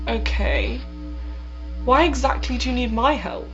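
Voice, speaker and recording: A woman's voice: a little high, big pitch swings, unhurried, a bit bright, dull audio, faint music behind. A woman playing a frightened but feisty travelling companion in a fan audio drama. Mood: wary, questioning.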